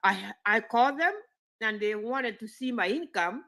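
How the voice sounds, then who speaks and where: A retired woman from Kenya is calling in about her loan options. Her voice sits fairly high and moves around a lot, and it has a bright edge. She keeps slipping small nervous laughs in between her sentences. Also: expressive, moderate pace. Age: older. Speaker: caller. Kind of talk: live call-in chat.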